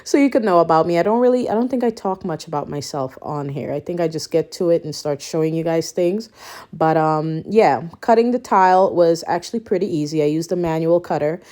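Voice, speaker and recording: A woman's voice: low-pitched, fairly quick, mellow. A woman in her thirties from Suriname with a Guyanese accent, chatting casually and a little out of breath, with lots of ums. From Suriname, raised Guyanese. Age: 30s. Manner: rambling, many ums, laughs between thoughts. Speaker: home renovation vlogger. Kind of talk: casual chat to camera.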